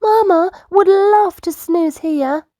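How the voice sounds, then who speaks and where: A curious young girl sloth put on by a woman reader in a storybook. Her voice is high and piping, eager and animated, recorded close and dry. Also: slow pace, dry recording. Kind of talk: children's storybook read-aloud.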